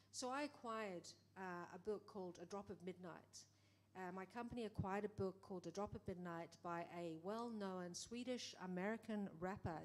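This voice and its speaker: A middle-aged woman producer speaking in a conversation, animated, acting out quoted exchanges, with many restarts. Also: mid-range voice. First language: English. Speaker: film producer. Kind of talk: panel conversation.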